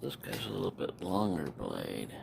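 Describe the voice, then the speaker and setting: A man's voice: extremely low pitch, wildly swooping pitch, moderate pace, vocal fry, slight background noise. A man giving a casual, unscripted product review, rambling and correcting himself as he goes. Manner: rambling, self-correcting, mumbling asides. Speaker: knife reviewer.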